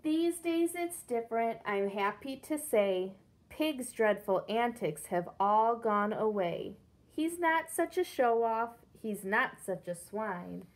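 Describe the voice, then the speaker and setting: A woman's voice: a little high, lively intonation, takes it slowly. A woman in her thirties, a teacher reading a picture book expressively and explaining it simply for young children. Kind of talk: picture-book read-aloud with questions to children. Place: indoors, small room against a brick-patterned wall.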